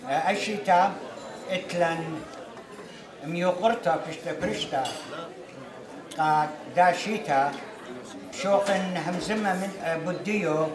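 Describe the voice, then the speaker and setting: Fast-talking man emceeing a banquet. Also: sits high. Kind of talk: ceremony announcement. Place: large banquet hall. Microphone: podium microphone. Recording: echoey banquet hall with crowd.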